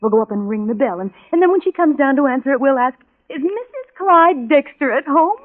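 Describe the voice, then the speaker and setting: A woman's voice: high voice, animated, fairly quick. An actress playing a lovesick, obsessive young woman in an old-time radio drama, pleading with impassioned, bitter anguish. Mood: bitter, obsessed. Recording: tinny vintage radio recording with music.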